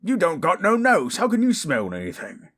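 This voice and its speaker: A man playing a gruff, weary but good-hearted orc adventurer in a fan audio drama, blunt and grumbling. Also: high voice, huge pitch swings, moderate pace, fairly bright tone, heavily acted.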